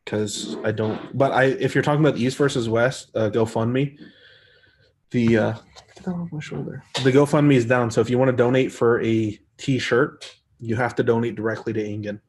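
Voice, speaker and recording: Man, soft-spoken, casual stream chat, easygoing, rambling, mid-pitched voice. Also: steady tone, normal speed, a little hiss or hum. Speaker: arm wrestler hosting a live chat stream. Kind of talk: casual live-stream chat reading comments.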